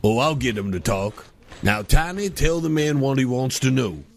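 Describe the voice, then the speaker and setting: A man's voice: medium pitch, animated, moderate pace, creaky. A man playing an irritable middle-aged cartoon ringleader who bickers in a sarcastic, folksy way. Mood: bossy.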